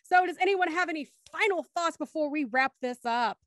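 Casting: young woman, high-pitched, casual show host, dry home recording. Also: animated, brisk, a bit bright, no room sound. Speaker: host of a podcast after-show. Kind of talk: casual hosting chat over video call. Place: home room, on a group video call.

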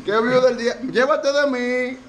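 A brash young man with a Dominican Spanish accent jokes and boasts as he plays barber. His voice sits high for a man, and a crowd of men chatter close around him in a small barbershop. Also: steady tone, unhurried. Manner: brash, boastful, teasing, repeats catchphrases. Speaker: vlog host playing barber. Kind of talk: joking banter while cutting hair. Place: small busy barbershop indoors. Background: chatter of several men.

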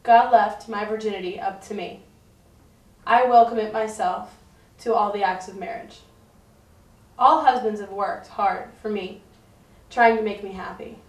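A woman's voice: mid-range voice, fairly even intonation, normal speed, pauses often, close to an everyday voice. A woman playing a boastful, unashamed, much-married medieval wife in a scripted puppet show monologue. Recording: echoey, distant mic, faint music.

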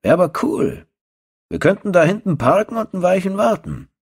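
A man acting out a jeering thug with raised pitch. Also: expressive, moderate pace, vocal fry, a little mellow, husky, exaggerated voice. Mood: cocky. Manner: mocking, coarse. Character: jeering male thug, crude and cocky henchman. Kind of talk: audiobook horror story dialogue.

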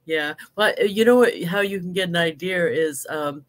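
A woman's voice: fairly low pitch, moderate pace. Chatty older woman hosting a video call. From United States. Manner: chatty, laughs often. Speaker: host. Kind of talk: casual video-call interview. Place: home office with bookshelves. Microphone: webcam on a video call.

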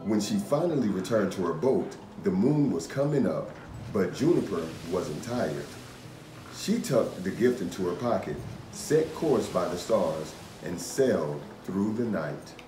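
A man in his thirties reading a children's picture book aloud in an expressive, measured storytelling manner. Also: low-pitched, noisy background. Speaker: storytime reader, host. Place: indoor room. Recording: roomy echo. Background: music.

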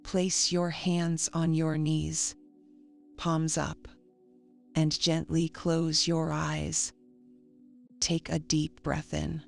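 A woman reading a scripted guided meditation in a calm, soothing, measured manner. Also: low-pitched, gravelly. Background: faint music.

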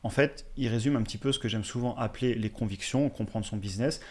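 A man in his thirties hosting a finance channel, explaining investing to viewers in a steady, direct way, recorded on a close studio mic in a small room. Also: mid-range voice, quick pace. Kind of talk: educational commentary over slides. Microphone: studio mic on a boom in front.

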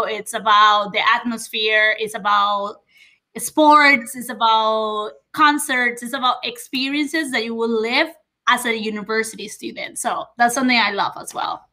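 A woman's voice: a little high, steady tone, normal speed, pushed and bright. A young woman from Venezuela, speaking as a university representative on a video call from her living room, explaining things to viewers in an upbeat, informative way. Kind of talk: friendly webinar presentation.

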